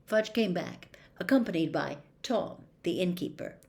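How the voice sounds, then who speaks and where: A woman reading a novel aloud as an audiobook narrator, in an expressive storytelling style. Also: lowish voice, unhurried, creaky.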